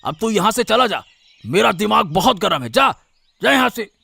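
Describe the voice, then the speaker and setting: A man's voice: sits high, sing-song, moderate pace, creaky, bright, forceful tone, husky, dry recording, heavily acted. A man voicing an irritable, scolding senior villager in a cartoon story. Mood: exasperated.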